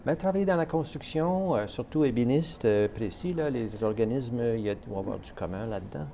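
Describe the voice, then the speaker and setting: A man's voice: mid-range voice, animated, a little fast, creaky, warm tone, slight background noise. A man hosting a casual TV interview, chatty and laughing, with many fillers. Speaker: talk show host.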